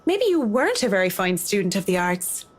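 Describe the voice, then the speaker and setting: A woman's voice: mid-range voice, big pitch swings, brisk. Reserved professional woman, mid-range, dry and blunt, horror visual novel. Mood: dry, cutting.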